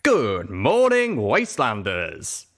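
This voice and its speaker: A man voicing a brash, folksy, upbeat pony radio DJ who announces the news with drawn-out, punchy showmanship. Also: medium pitch, huge pitch swings. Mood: upbeat, showy.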